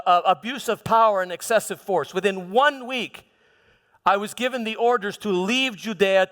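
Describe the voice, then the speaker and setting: Guy playing an old roman governor, haunted and dignified, stage monologue, fairly high voice, big echoey hall. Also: fairly bright tone, clean tone. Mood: rueful. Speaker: performer in a church dramatization. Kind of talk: dramatic first-person monologue. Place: large church hall. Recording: long hall reverb.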